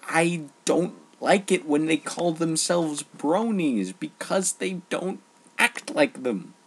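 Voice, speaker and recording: A man delivering an informal opinion rant, emphatic and halting, often repeating phrases for stress. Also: fairly high pitch, lively intonation, breathy edge. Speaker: online ranter.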